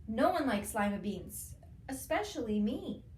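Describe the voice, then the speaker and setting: A woman's voice: fairly high pitch, very animated, a little slow, a little mellow, roomy sound, recorded from a distance. A woman voicing a stubborn young girl from a storybook, emphatic and dramatic. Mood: disgusted.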